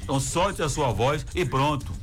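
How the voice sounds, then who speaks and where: A man hosting a radio show, chatting and joking fast in casual banter. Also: a little high, soft background music. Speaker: radio host.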